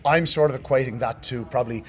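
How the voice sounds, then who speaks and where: Fast-talking hoarse Irish man presenting. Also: mid-range voice. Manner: quick, detailed talk about race times. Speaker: racing show presenter. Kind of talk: post-race review and analysis.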